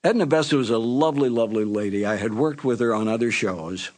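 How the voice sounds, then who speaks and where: An older man, a former radio announcer, looking back on his career in a relaxed, conversational way and sometimes correcting himself mid-sentence. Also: mid-range voice, a little fast. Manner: relaxed, conversational storytelling with small self-corrections. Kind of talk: reminiscing anecdote.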